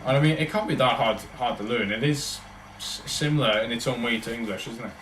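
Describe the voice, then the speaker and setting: A man's voice: mid-range voice, a little fast, fairly bright tone, reverberant, slight background noise, rough recording. A man with a Welsh accent, chatting casually and rambling on a livestream as he answers his viewers. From Wales. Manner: rambling, chatty, talks in loose run-on thoughts.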